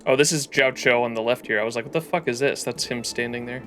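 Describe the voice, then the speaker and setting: A man's voice: mid-range voice, steady tone, fast talker, music in the background. A man livestreaming a game with casual, slangy, irreverent commentary.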